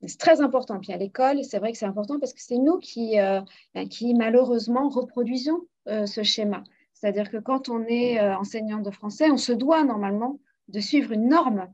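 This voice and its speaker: A woman who teaches French explains her views earnestly in a fairly high voice. She often hesitates with fillers as she goes. The sound is muffled and lo-fi. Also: normal speed, darkish tone. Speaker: French teacher, panel guest. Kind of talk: casual radio discussion. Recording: dull lo-fi radio audio.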